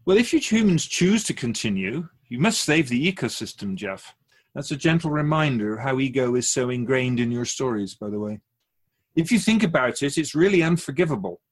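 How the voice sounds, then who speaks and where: A man playing a wise otherworldly keeper of souls in a radio drama, measured and didactic, with gentle, wry teasing. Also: medium pitch, sing-song, breathy edge. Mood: gently chiding. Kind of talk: conversational radio drama acting.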